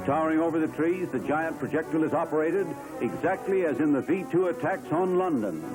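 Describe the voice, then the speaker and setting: A man's voice: a little high, fairly quick, low-quality recording. A man narrating a 1940s newsreel in a formal, dramatic announcer's delivery. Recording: 1940s newsreel soundtrack with loud music behind.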